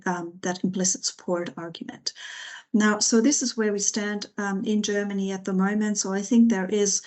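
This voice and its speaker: A woman with a mid-pitched voice and a flat, steady melody talks as a specialist on a panel. She explains at length in winding sentences, pausing to find the next phrase. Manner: explanatory, long winding sentences with hesitant pauses. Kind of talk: expert panel discussion, unscripted.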